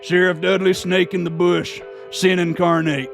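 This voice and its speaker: A man's voice: sits high, level tone, moderate pace, creaky, over music. A man voicing a vengeful gunslinger in his forties, theatrical and menacing, with an in a video game. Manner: theatrical, grandiose.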